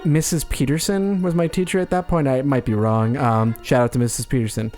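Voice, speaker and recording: A man's voice: medium pitch, fairly even intonation, fairly quick, a little mellow, soft background music. A man co-hosting a comedy podcast, talking in casual banter.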